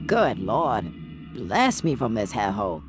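A woman's voice: a bass voice, very animated, unhurried, exaggerated voice. A woman's voice playing a practical, wry young zombie apocalypse survivor in video game lines. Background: music.

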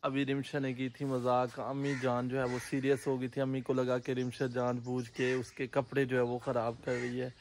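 A man's voice: mid-range voice, normal speed, few pauses, slight background noise. A man in his thirties with a Punjabi accent, filming a vlog on a phone at arm's length while walking by the fields and explaining as he goes. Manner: relaxed explaining while walking, hand gestures. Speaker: vlogger. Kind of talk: casual vlog commentary. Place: outdoors by wheat fields. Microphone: phone held at arm's length.

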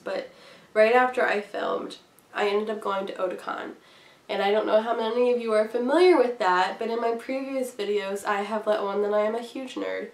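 A young woman in her twenties with a voice hoarse from a cold, chatting casually and rambling to camera with many ums. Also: mid-range voice. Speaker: craft vlogger. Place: small room indoors at home. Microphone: camera at a distance. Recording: roomy, from a distance, faint hiss.

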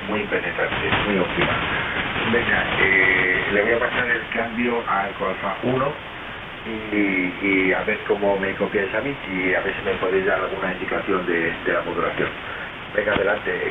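A man with a Spain Spanish accent chatting casually as an amateur radio operator giving signal reports over shortwave. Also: sits low. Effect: shortwave radio transmission. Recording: tinny, echoey shortwave radio, loud hiss.

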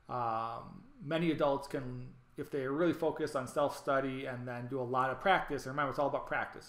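A man's voice: medium pitch, a bit bright, reverberant. A man presenting an online training, explaining things over slides with frequent ums and restarts. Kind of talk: recorded lecture over slides.

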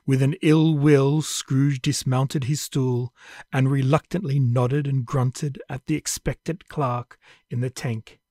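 A man narrating a classic ghost story in a measured, storytelling manner. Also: medium pitch, a little mellow, no echo, studio quality. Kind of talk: scripted dramatic narration.

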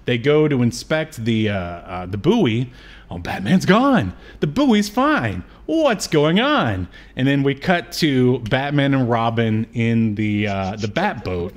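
A man's voice: medium pitch, sing-song, slow pace. A man, hosting a casual film discussion and recapping scenes from home. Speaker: podcast host. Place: small room at home.

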